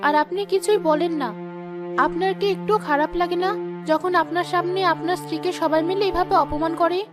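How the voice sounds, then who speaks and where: A woman's voice: extremely high pitch, steady tone, fairly quick, over music. A woman playing a wronged, long-suffering kind wife in a melodramatic cartoon, plaintive and protesting. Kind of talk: melodramatic cartoon story dialogue.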